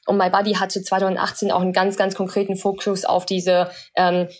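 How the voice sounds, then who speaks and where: A woman's voice: medium pitch, completely flat delivery, brisk. A young woman from China, a podcast guest, explaining her work thoughtfully with many filler sounds. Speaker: podcast guest, social entrepreneur. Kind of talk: casual interview talk.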